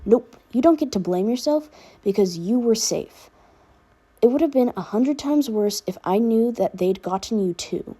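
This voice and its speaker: A woman's voice: on the high side, lively intonation, normal speed, warm tone, slightly rough, pitched above the performer's own voice. A woman voicing a protective, teasing older brother in a casual, firm way for a fan fiction reading. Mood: firm, reassuring.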